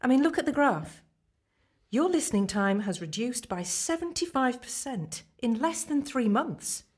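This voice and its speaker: A woman's voice: a little high, big pitch swings, unhurried, slightly rough, exaggerated voice. A woman playing an encouraging, slightly daft therapist in a radio play, earnest and reassuring. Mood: earnest encouragement.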